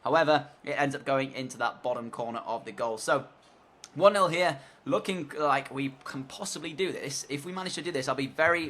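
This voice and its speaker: A man narrates his football video game session in rapid, rambling play-by-play, stumbling through fillers and restarts. His voice is hoarse from illness, yet it sits a touch high and sounds bright and tense. It's a relaxed, chatty voice-over spoken straight into a desk mic. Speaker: gaming commentator.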